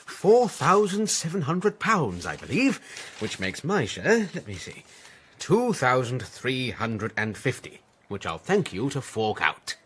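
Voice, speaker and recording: An actor playing a greedy, excitable gambler's accomplice in a period radio drama, with a blustering, insistent manner. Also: male voice, medium pitch, unhurried, slight background noise. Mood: demanding, indignant.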